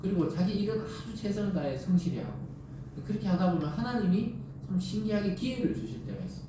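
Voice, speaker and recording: Male preacher, Gyeongsang accent, fairly high-pitched, explanatory and conversational; very reverberant church sound, picked up from a distance. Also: sing-song, quick pace. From South Korea. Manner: explains by acting out everyday dialogue and asking rhetorical questions. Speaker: pastor. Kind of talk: conversational expository sermon. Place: church sanctuary during Sunday service. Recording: echoey church, distant mic, faint noise.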